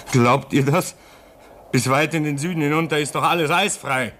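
A man's voice: fairly high pitch, fairly bright tone, a little hiss or hum. An actor playing a homesick, bitter man stranded at a polar station in a radio drama. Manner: bitter, despairing.

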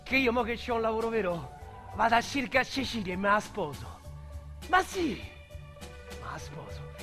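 A brash small-time Roman ex-convict in a radio play, crude, boastful and mocking in rough street slang. The voice sits high and bright, with a keen, cutting edge. Faint music plays behind him. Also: male voice, big pitch swings, moderate pace, full character voice. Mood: rueful bragging. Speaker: lead actor. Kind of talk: radio drama acting.

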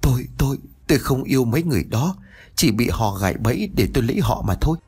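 A stammering, frightened old lord with many wives pleads and begs, as a man puts him on in a spoken horror story. His raised voice is husky and wavers widely. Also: mid-range voice, lively intonation, fast, darkish tone. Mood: nervous, pleading.

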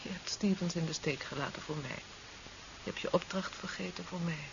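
An actress playing a devoted, stubborn wife in a 1970s Dutch radio drama, earnest and insistent in manner. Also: female voice, lowish voice, a little fast, vocal fry. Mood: eager, probing. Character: devoted, stubborn wife, evasive about her frailty. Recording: dull, hissy 1970s radio recording.

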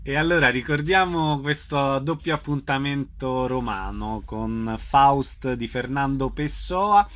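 Guy hosting a radio interview show, voice a bit on the high side, reads out event details. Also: a bit bright. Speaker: radio host interviewing guests.

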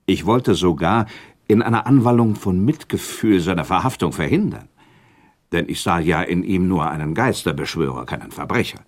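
An actor playing a thoughtful, self-doubting prince in a radio drama, speaking in courteous, elaborate formal phrases. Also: male voice, low-pitched, animated, normal speed. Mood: reflective, emphatic. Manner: formal, elaborate, with inserted asides. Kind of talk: German radio drama acting.

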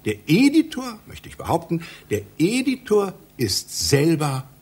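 Pompous middle-aged scholar, very husky, wildly swooping intonation, fussy pedant, satirical radio play. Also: male voice, fairly high pitch, wildly swooping pitch, measured pace, exaggerated voice. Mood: grandly lecturing. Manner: pompous, fussy, lecturing, declamatory. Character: obsessive pedantic male scholar editing an obscure epic. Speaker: literary scholar with a doctorate.

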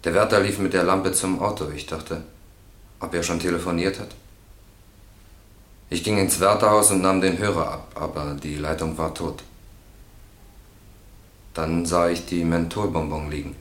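An actor playing a guilt-ridden defendant in a German radio crime drama, halting and subdued. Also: male voice, sits low, steady tone, a bit bright. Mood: remorseful, confessing. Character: guilt-ridden, evasive male defendant confessing in court. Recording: roomy, echoing radio drama studio.

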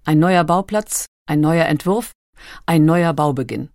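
A woman reading scripted documentary narration in a measured, factual manner. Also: low-pitched, a little mellow, no echo, studio quality.

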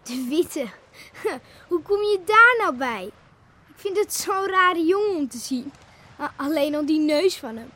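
A woman playing a lively, outspoken young village schoolgirl in a Dutch radio play. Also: high-pitched, a little slow, exaggerated voice. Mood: chatty, teasing.

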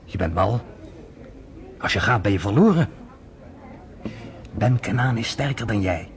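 An actor playing a wry, skeptical American friend in a radio drama, teasing and lecturing. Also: male voice, on the low side, lively intonation, unhurried.

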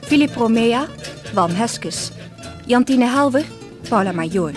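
Slow, deliberate woman announcer over music. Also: a little high, expressive. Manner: formal, list-like, deliberate. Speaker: radio drama announcer. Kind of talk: scripted credits reading.

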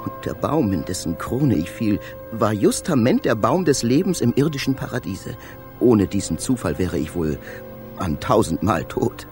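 Radio play casting: a fervent dreamer and traveller, lowish, highly animated, poetic and theatrical. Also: male voice, moderate pace, faint music behind. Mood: wondering. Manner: theatrical, poetic, rapt delivery. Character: dreamy, fervent male poet-inventor and space traveller. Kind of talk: literary radio drama acting.

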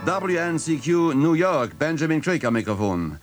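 An actor playing a jovial New York radio host with an announcer-like delivery in a radio play. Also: male voice, fairly high pitch, very animated, moderate pace, faint music behind.

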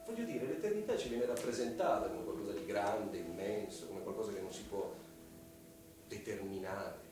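An actor playing a scheming, lecherous middle-aged landowner in a radio drama, speaking in a theatrical, insinuating way with pauses and hushed words. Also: male voice, lowish voice, animated, close to an everyday voice. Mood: brooding, hushed. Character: scheming, lecherous widowed male landowner, insinuating and self-justifying. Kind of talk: Italian radio drama acting. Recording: very echoey, distant voice over music.

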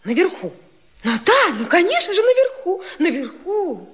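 High-voiced, exasperated, evasive wife. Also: female voice, big pitch swings, normal speed, long reverb tail. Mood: nervous, evasive. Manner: exasperated, sighing, dismissive. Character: irritable, evasive wife of an old man, coquettish. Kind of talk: classic radio comedy vaudeville acting.

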